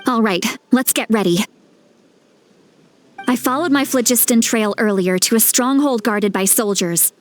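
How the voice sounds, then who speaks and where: A woman playing a caring, self-assured sage in a video game, with an assured, gently advising manner. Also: fairly high pitch, unhurried, lightly acted. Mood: determined.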